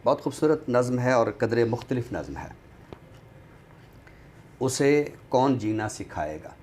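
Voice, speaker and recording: A man reciting poetry on a literary show in a deliberate, expressive way, returning to refrains. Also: mid-range voice. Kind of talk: dramatic Urdu poetry recitation, then book talk. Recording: clearly noisy background ambience.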